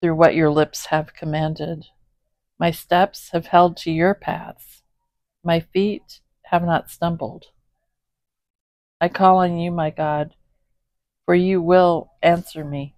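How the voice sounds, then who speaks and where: A woman's voice: on the low side, fairly even intonation, slow pace, long pauses, a little mellow, no room sound. A woman hosting a home video, chatting casually and reading a psalm aloud, with chatty apologetic asides. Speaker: host reading scripture. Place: home, indoors, voice behind camera filming a cat.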